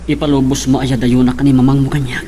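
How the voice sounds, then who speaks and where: A man's voice: medium pitch, little pitch movement, quick pace, warm tone, lightly acted. A man acting an earnest, stubborn young employee in love, in an Ilocano audio drama. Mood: firm. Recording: clear background noise and ambience.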